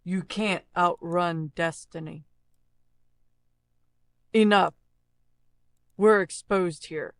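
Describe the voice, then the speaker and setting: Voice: fairly even intonation, very slow, pauses often, fairly bright tone, clear, resonant tone, dry recording. An actor voicing a grim fugitive character in terse, dramatic game-style warnings, processed with cyborg-style voice effects. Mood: grim, urgent. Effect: pitch and robotic character voice effects being demonstrated. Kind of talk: short scripted dramatic character lines, played as a looping vocal sample.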